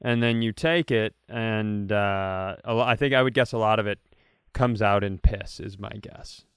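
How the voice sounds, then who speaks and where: A man's voice: lowish voice, moderate pace, fairly bright tone, clear, resonant tone, no echo. Self-mocking man bantering, clean dry sound. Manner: self-mocking, rambling storytelling with playful exaggeration. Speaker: comedy podcast co-host. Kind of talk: casual comic banter.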